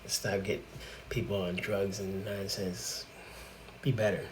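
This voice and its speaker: A forty-something man talks through a reaction video in a fairly low voice, laughing and exclaiming as he goes. A faint hiss sits under the recording. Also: flat delivery, unhurried, poor audio. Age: 40s. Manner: chatty, laughs often, exclaims in disbelief. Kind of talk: casual reaction commentary.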